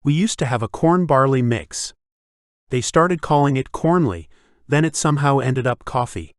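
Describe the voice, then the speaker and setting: Nervous young new hire, polite and hesitant, voiced by a male narrator, slightly raised pitch, dry room. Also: lively intonation, normal speed, dry recording, natural voice. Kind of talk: audiobook character voice.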